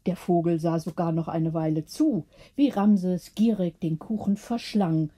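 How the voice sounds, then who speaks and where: A woman's voice: lowish voice, steady tone, unhurried, mellow. A woman narrating a scripted bedtime story as an audiobook reader.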